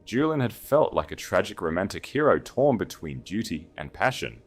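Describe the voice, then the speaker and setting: Very low-voiced man narrating dramatically. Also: very animated, normal speed, soft background music. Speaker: audiobook narrator. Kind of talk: dramatic scripted story narration.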